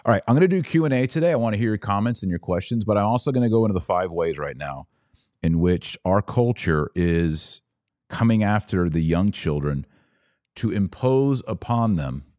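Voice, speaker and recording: Podcast host: American man, deep register, emphatic off-the-cuff religious commentary, very dry studio-like sound. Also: animated, normal speed, darkish tone, no echo. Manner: emphatic, stretching key words, with conversational asides.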